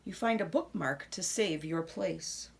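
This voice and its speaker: A woman reading a children's picture book aloud expressively, with dramatic pauses and hushed asides. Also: fairly low pitch, creaky, a little hiss or hum.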